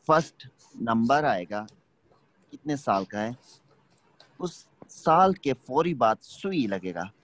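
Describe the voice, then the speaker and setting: A man teaching an online language class, explaining slowly and checking that students follow. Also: mid-range voice, animated, fairly bright tone, low-quality recording. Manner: patient, explains slowly, checks understanding often. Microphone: video call.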